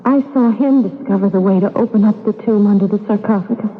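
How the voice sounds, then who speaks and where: A woman's voice: medium pitch, fast talker, a little hiss or hum, natural voice. An old, tinny radio recording with long reverb features a woman acting as an anxious heroine. She speaks earnestly and a little breathlessly, with dramatic feeling. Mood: nervous, confiding. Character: earnest, anxious young heroine, witness to murder.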